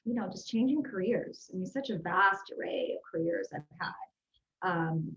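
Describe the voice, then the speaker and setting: A woman's voice: fairly low pitch, lively intonation, normal speed, vocal fry, muffled recording. A woman speaking as an interview guest, answering in an upbeat, earnest, conversational way. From Houston, Texas, United States. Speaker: guest, executive and life growth coach. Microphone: video call.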